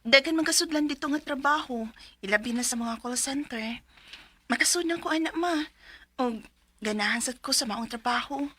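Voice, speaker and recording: An earnest young Filipina daughter speaks in a high, bright voice with a Cebuano accent. She is expressive, telling her story in the manner of a nineties radio drama. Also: moderate pace. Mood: earnest pleading. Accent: Cebuano Philippine. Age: young adult. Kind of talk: 1990s Bisaya radio drama acting, narrating her story.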